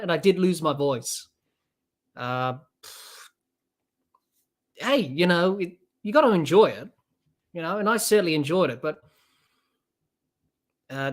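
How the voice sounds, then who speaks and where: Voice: mid-range voice, a bit bright. A person in their twenties with an Australian accent, hosting a casual live cricket podcast, chatting loosely and reading out viewer comments between balls. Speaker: podcast host.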